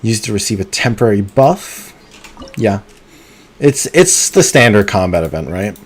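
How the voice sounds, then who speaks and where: A man streaming a video game live, chatting casually and muttering to himself between bursts of excitement. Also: lowish voice, moderate pace, slightly rough. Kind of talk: live gameplay commentary.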